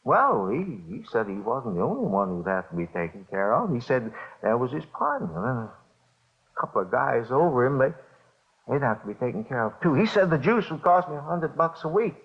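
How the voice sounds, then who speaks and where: An American man playing a scared small-time bookmaker under questioning, halting and repeating himself in 1950s radio style. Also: medium pitch, big pitch swings. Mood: nervous, defensive. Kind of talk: 1950s radio drama acting. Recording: dull vintage radio recording.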